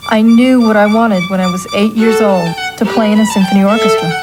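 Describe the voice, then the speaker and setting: A woman's voice: medium pitch, fairly even intonation, brisk, low-quality recording. A woman giving a scripted first-person testimonial for an advertisement, with strings playing behind her. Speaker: testimonial speaker. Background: loud violin and string music.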